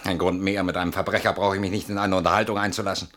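An actor playing an anxious, defensive husband in a classic German radio play, agitated, stubborn and sardonic, with dramatic pauses and repeated words. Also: male voice, deep voice, little pitch movement, a bit bright, a little hiss or hum. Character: anxious, defensive husband barricaded behind his door.

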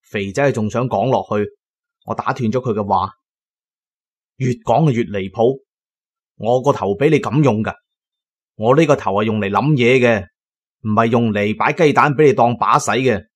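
A man narrating an adventure audio drama in a colloquial, expressive first-person style. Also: medium pitch, moderate pace, pauses often. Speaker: storyteller, narrator.

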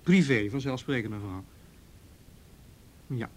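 A man's voice: medium pitch, slow pace. An actor playing a patient, courteous and slightly touchy policeman in a naturalistic radio drama. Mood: patient, official.

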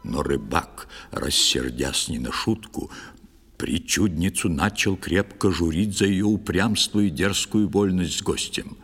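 A man's voice: low voice, unhurried, fairly bright tone, breathy edge. A man narrating a verse fairy tale as a dramatic storyteller in an audio play. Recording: music under the voice.

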